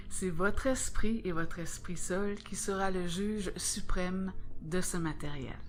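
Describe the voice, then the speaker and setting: A woman with a Québécois accent presenting a scripted spiritual video in a calm, measured, instructive way. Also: mid-range voice. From Quebec.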